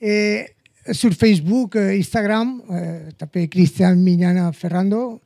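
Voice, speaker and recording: Man, Spanish accent, halting speech, mellow dark voice, dry sound. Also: high voice, vocal fry, dry recording. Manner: hesitant, halting speech with restarts. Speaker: guest painter. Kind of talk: casual chat.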